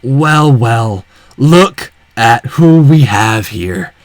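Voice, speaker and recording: A menacing gangster from a visual novel, acted out by a man. The voice is dropped lower, gloating and taunting, and the recording is dry. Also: lively intonation, extremely slow, no room sound.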